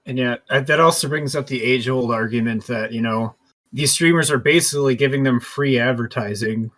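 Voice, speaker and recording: A man's voice: mid-range voice, fairly quick, a bit bright. A man co-hosting a podcast, talking casually and informally as he agrees and adds his points. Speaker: podcast co-host. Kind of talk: casual discussion.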